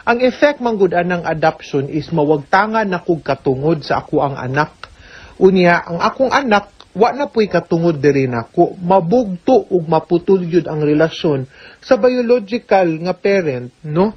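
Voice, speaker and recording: A woman's voice: fairly low pitch, lively intonation, normal speed, breathy edge. Cebuano-accented woman adviser, dull lo-fi sound. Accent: Cebuano Filipino. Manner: conversational, step by step, often checking with "no?". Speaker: legal adviser on a radio programme. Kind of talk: explanatory advice, answering listener questions. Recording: dull, lo-fi radio audio.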